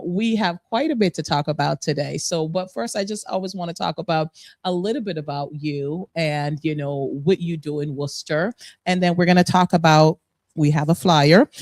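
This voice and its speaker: A woman's voice: lowish voice, no echo. A middle-aged woman from Jamaica, hosting a radio interview show in a studio, upbeat and chatty, laughing often. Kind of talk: casual interview chat.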